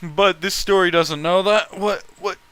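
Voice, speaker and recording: A man's voice: sits high, steady tone, a little slow, a bit bright, clear, resonant tone, no echo. A man reading a story aloud and breaking off for blunt, exasperated, sweary commentary. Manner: exasperated, blunt, incredulous asides. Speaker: host reading fan fiction aloud.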